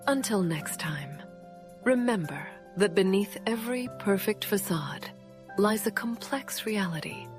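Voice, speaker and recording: A woman's voice: mid-range voice, lively intonation, a little slow. A woman announcer reading a scripted podcast sign-off as narration. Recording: loud music bed under voice. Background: music.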